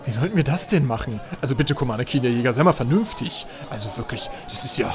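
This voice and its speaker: A man's voice: mid-range voice, expressive, quick pace, music in the background, lightly acted. A man playing a naive, chatty, whiny professor adventurer in a comedic fantasy audio drama. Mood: nagging. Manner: whiny, childish, babbling.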